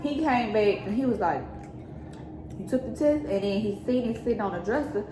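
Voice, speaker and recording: A woman's voice: a little high, animated, normal speed. A young adult woman telling a personal story in a casual, conversational way, quoting exchanges as she remembers them. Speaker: daughter co-hosting a storytime vlog. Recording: echoey room, clear background noise.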